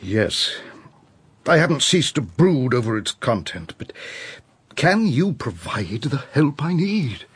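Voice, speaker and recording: An English actor in a radio drama, playing a pompous, self-dramatising matinee idol with florid, orotund delivery. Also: male voice, medium pitch, sing-song, slow, slightly rough, exaggerated voice. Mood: anxious, imploring. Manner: florid, pompous phrasing with hesitations. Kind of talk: BBC radio drama acting.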